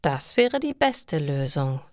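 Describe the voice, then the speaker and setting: A woman voicing a practical older mother who advises her grown daughter, in a sensible, deliberate storybook manner. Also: low-pitched, very animated, darkish tone, dry recording, clean studio sound, pitched below the performer's own voice, exaggerated voice. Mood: reassuring.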